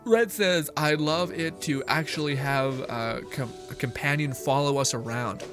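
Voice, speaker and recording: A man giving casual, sweary live commentary on a game as a streamer, animated and mock-indignant while chatting with viewers. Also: fairly high pitch, unhurried. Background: game music.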